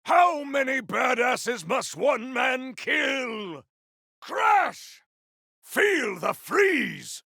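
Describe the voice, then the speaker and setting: A man voicing a grandiose, battle-hungry warrior in a video game, with a rough, gravelly voice and bombastic, theatrical delivery. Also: extremely high pitch, very animated, extremely slow, pushed and bright, no echo, shouting, clean studio sound, exaggerated voice. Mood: battle fury. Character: grandiose, battle-hungry male warrior with fire and ice powers. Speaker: playable game character. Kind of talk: video game combat barks.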